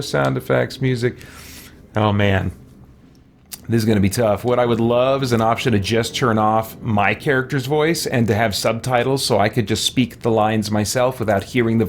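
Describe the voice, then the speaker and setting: A man's voice: medium pitch, gravelly. A man giving casual gaming commentary as a let's play host, rambling and thinking aloud over menus.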